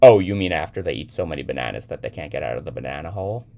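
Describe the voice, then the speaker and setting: A man's voice: a bass voice, animated, rapid-fire, gravelly, mellow, exaggerated voice. A man voicing a playful, eccentric young man who teases with mock seriousness in a storybook reading. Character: playful, eccentric young man teasing a child, edgy with adults.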